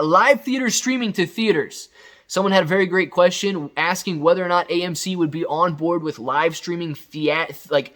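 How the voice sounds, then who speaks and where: A man's voice: sits high, fairly bright tone. A man hosting a casual, off-the-cuff news recap, talking straight to viewers in an emphatic, enthusiastic, conversational way. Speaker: video host recapping company news.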